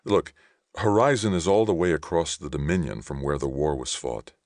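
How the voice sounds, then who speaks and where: A man's voice: very deep voice, animated, moderate pace, a little mellow, dry recording, clean studio sound. A man voicing a troubled, earnest male war veteran in an audiobook. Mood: frustrated. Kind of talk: audiobook character dialogue.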